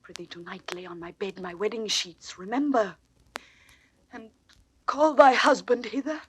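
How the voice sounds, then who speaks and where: A woman playing a gentle, wronged and devoted young wife in a classical Shakespearean audio drama, slow, plaintive and often near a whisper. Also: medium pitch, husky, close to an everyday voice, poor audio. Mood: dazed grief. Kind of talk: classical Shakespearean stage acting on record. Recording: old dry studio drama record.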